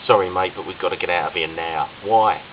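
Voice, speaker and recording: Brief: forced-calm, brisk young man, male narrator. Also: low-pitched, lo-fi sound, slight background noise, lightly acted. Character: man trying to hide his panic.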